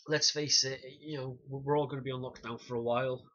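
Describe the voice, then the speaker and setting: A man in his thirties talks straight into a webcam from a small room, bright-toned with a husky edge. He explains with animated gestures and drops wry, sarcastic asides. The sound is dull and lo-fi, like a desk webcam. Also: mid-range voice, quick pace. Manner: animated, gestures and demonstrates punches. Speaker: self-defence instructor reviewing a documentary. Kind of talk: casual to-camera review. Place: small room indoors, banner behind. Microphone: webcam at desk distance.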